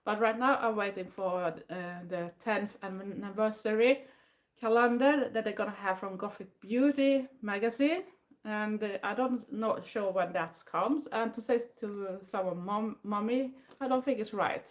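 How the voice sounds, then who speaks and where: An older woman from southwest Sweden, a native Swedish speaker, chatting casually in English with a Swedish accent, pausing often with fillers and restarting her sentences. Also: mid-range voice. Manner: hesitant, many fillers and restarts, non-native phrasing. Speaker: vlogger. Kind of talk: casual chat.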